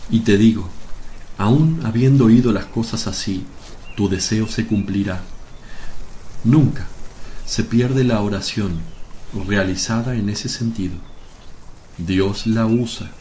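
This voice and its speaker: A male actor plays a serene, fatherly teacher in a religious radio play. His voice is low and very unhurried, solemn and reassuring. There is faint noise behind him. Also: mellow. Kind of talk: religious radio drama acting.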